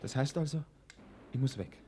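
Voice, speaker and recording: A performer playing a despairing, polite boy in hiding in a radio drama, speaking quickly in a subdued way. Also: medium pitch, mellow, husky, lightly acted.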